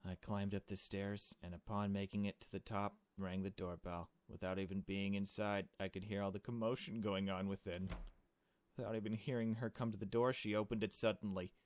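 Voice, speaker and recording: A man's voice: fairly low pitch, little pitch movement, a little fast, no echo. A man reading a visual novel aloud as a let's play host, chummy and joking, with casual asides and swearing. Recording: music behind the voice. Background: game music.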